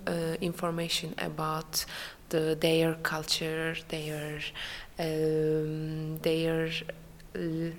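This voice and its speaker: Slow, gravelly young woman from Turkey. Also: deep voice, a little hiss or hum. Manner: hesitant non-native English with many fillers. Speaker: international student interviewee. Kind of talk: casual interview answers. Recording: hall reverb with faint ambience.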